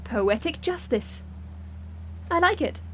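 Higher-voiced, wry British agent. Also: female voice, lively intonation, slow, a little hiss or hum, rough recording. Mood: dry. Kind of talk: audio drama acting.

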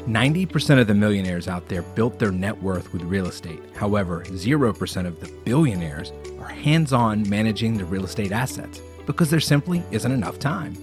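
A man's voice: lowish voice, lively intonation, brisk, few pauses. A man hosting an interview podcast, reading an intro and welcoming his guest in an upbeat, enthusiastic way. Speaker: podcast host. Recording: dry with faint music bed.

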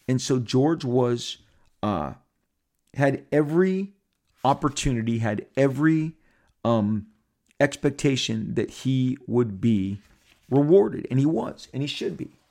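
A man's voice: medium pitch, creaky. A man in his fifties hosting a podcast, telling a rambling story to his listeners with lots of restarts and quoted asides. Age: 50s. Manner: animated, rambling, repeats and restarts, quotes others in character. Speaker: podcast host. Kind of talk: casual storytelling monologue.

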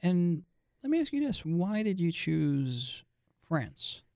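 A man hosting a podcast who asks questions in a halting way, restarting often. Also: a little high, very animated, a little mellow, no echo, clean studio sound. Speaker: podcast host. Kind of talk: casual interview conversation.

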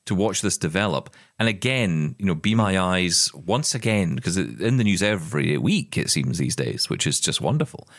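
Deep-voiced enthusiastic British man. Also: sing-song, normal speed, few pauses, no room sound, clean studio sound. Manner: enthusiastic, rambling with restarts. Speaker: podcast co-host. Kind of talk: casual tech news chat.

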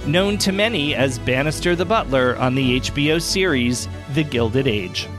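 A man's voice: mid-range voice, lively intonation, moderate pace, over music. A man hosting a podcast, interviewing a guest in a polished, conversational way.

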